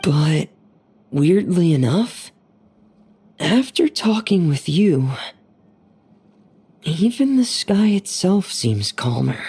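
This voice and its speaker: A woman voicing a shy, gentle, soft-spoken teenage boy in a game dub, speaking in a hesitant, earnest way. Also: on the low side, very animated, takes it slowly, long pauses, slightly rough, exaggerated voice. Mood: calm, sincere. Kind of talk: English anime game dub acting.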